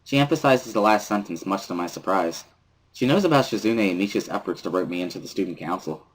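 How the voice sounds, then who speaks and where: A man reading first-person story narration from a visual novel as a dramatic reading, with a husky voice. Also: low-pitched, expressive, fast talker, low-quality recording.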